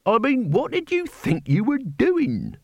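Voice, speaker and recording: A man putting on an indignant older thief who lectures his clumsy apprentice, his voice climbing higher and higher as he scolds. The tone swings widely, and the reading is dry and close. The exasperation is laid on with exaggerated politeness. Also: wildly swooping pitch, a little slow, vocal fry, a little mellow, breathy edge, no echo, dull audio, heavily acted. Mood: scolding. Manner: scolding, with mock courtesy. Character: indignant middle-aged male thief master.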